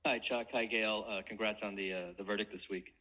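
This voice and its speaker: A man with a fairly low voice speaks as a financial analyst on an earnings call. He puts his questions in a conversational, businesslike way. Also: steady tone, brisk, bright, forceful tone, rough recording.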